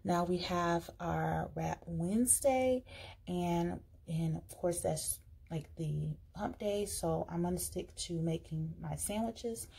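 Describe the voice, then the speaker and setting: A woman's voice: on the low side, slow pace, gravelly, mellow, husky. A woman vlogging casually as she plans her week's meals at a table, talking in a chatty way with fillers and restarts. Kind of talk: casual vlog, planning meals while writing. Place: indoors at a table.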